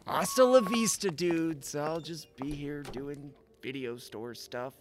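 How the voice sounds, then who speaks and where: An actor playing a goofy, laid-back young video store clerk who talks in casual slang in a comic audio drama. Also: male voice, a little high, slow. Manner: casual slangy, dude-and-bro talk. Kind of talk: comedic audio drama acting.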